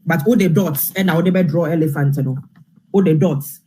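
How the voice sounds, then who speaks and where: Male Ghanaian commentator, raised pitch, rough-edged voice, informal and emphatic delivery. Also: normal speed, dark tone. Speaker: political commentator. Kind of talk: informal political commentary, mixing Twi and English.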